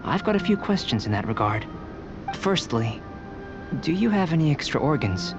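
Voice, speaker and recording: An actor playing a calm, curious young alchemist in a video game, speaking in a measured, formal, detached and analytical way. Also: male voice, a little high, lo-fi sound, music in the background. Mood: thoughtful, polite. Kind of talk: scripted video game dialogue.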